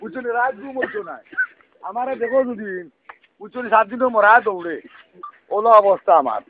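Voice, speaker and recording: This is a high-voiced Sylheti man arguing over a thin, noisy phone line. He scolds at a quick clip in long, exasperated rambles. Questioning grunts keep punctuating his complaints. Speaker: prank call participant. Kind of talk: heated phone argument. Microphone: telephone.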